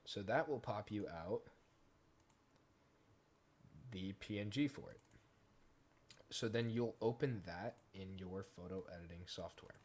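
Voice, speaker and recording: A man hosting a software tutorial, explaining each step informally in a casual, conversational way. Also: fairly low pitch, unhurried, long pauses. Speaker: tutorial host. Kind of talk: casual screen-recorded software tutorial narration.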